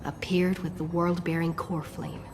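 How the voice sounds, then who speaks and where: A woman voicing a solemn heroine who recounts a fantasy quest in measured, scripted video game narration. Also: lowish voice, flat delivery, close to an everyday voice. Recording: long reverb under game music. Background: game music.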